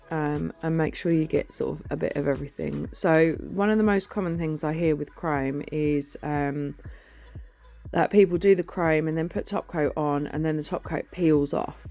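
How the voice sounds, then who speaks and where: Husky-voiced woman teaching nail chrome. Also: low voice, a little mellow. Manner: chatty, informal, self-deprecating. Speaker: nail artist and educator. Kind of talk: voice-over nail tutorial. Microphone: phone.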